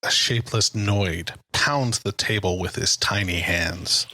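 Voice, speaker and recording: A man's voice: sits low, unhurried, background noise. A man giving scripted dramatic narration as the narrator of an audio drama. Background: music.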